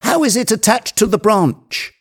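An agitated, domineering storybook man, acted out by a male reader. His voice jumps well above a normal male register and swoops animatedly as he issues urgent commands. He sounds obsessive and excitable. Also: sits high, a little slow, pitched above the performer's own voice. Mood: excited. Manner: imperious, agitated, exclaiming.

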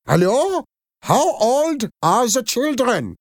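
A fussy foreign woman on the phone, put on by a man for a storybook. Curt and impatient, the voice jumps very high and swings widely. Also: big pitch swings, slow, dry recording, clean studio sound, heavily acted. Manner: abrupt, exclaiming.

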